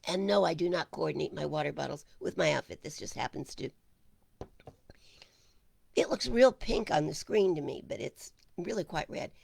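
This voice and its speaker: A woman minister hosting a casual online service, chatting with viewers and greeting them by name, her voice hoarse and gravelly. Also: low voice, expressive, moderate pace, darkish tone, dry recording. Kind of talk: casual livestream chat with viewers.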